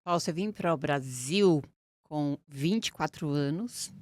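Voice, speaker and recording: Earnest woman, lowish voice. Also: darkish tone, dry recording. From Portugal. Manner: earnest, deliberate, reflective. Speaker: guest, criminal lawyer. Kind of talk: interview conversation.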